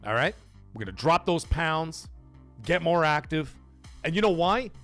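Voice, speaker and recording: A man hosting a casual livestream, loudly thanking chat supporters between serious talk. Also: a little high, sing-song, a little slow, faint music behind. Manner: hyped, shouts out chat supporters. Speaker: livestream host.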